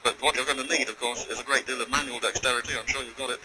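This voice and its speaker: A man's voice: mid-range voice, fairly even intonation, very fast, over a noisy crowd. A man speaking as a scientist on the ground, giving polite, precise technical instructions over radio. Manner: precise, polite, formal phrasing. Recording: noisy space radio link.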